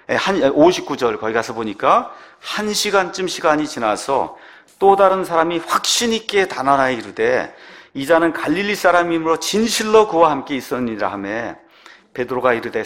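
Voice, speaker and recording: A man's voice: on the high side, a bit bright. A man preaching a sermon, asking the congregation questions and acting out the lines he quotes. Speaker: pastor.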